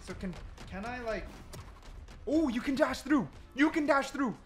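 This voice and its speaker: A man's voice: extremely high pitch, lively intonation, a little slow, soft background music. A man giving casual gameplay commentary, explaining things and repeating points for emphasis. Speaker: gaming video creator.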